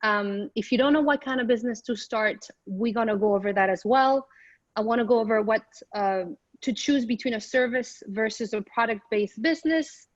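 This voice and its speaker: A woman's voice: fairly high pitch, no room sound, muffled recording. A middle-aged woman from Morocco giving an upbeat, chatty business presentation remotely, with frequent ums and restarts. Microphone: remote call microphone.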